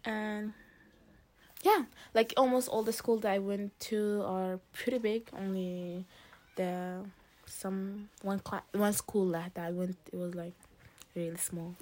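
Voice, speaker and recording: A teenage girl from Lebanon, a high school student answering interview questions in a casual, hesitant way with many fillers and restarts. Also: steady tone, slow pace, darkish tone. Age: teens.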